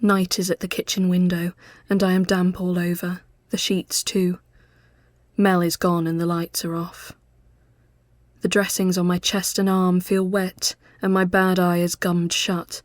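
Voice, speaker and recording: A woman reading a literary ghost story as scripted narration, measured and often hushed as phrases end. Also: on the low side, flat delivery, dry recording, clean studio sound.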